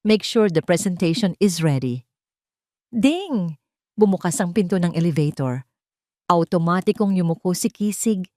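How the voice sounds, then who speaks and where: Female storyteller, lowish voice, dry close recording. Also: darkish tone, no room sound. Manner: dramatic storytelling, reading all parts. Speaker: narrator. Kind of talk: scripted audio drama narration.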